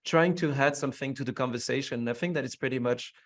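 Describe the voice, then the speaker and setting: A man's voice: medium pitch, quick pace. A young French man guest in an interview, answering in a reflective way with many fillers. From France. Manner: reflective, curious, frequent fillers. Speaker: podcast guest.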